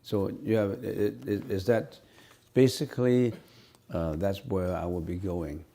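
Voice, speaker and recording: A man whose first language is Cantonese, a Buddhist teacher giving an unscripted dharma talk in halting speech with many fillers and restarts. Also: deep voice, fairly even intonation, gravelly, warm tone.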